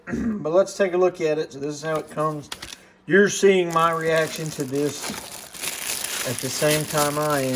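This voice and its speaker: Man with a higher-pitched voice, noisy recording. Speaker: host of a radio gear review channel. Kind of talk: casual unboxing and product demonstration. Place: indoor workbench in a radio shop. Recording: clearly noisy room recording.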